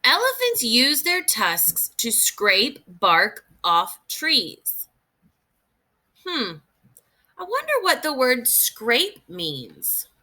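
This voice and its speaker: A woman teacher reading a children's nonfiction book aloud in a deliberate, expressive way, pausing to think aloud for young learners. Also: high voice.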